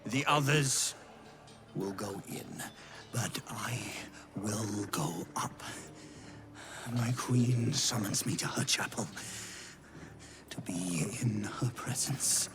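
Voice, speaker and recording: A man's voice: lowish voice, takes it slowly. A man voicing a fanatical half-spider creature in a fantasy game, reverently devoted to his queen and lapsing from muttering into whispers and sudden cries. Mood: rapturous.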